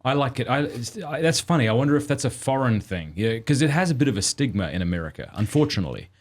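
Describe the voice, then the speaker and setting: A man's voice: mid-range voice, big pitch swings, quick pace. A man with an Australian accent hosting a podcast, talking candidly and self-deprecatingly in casual conversation. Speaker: podcast host.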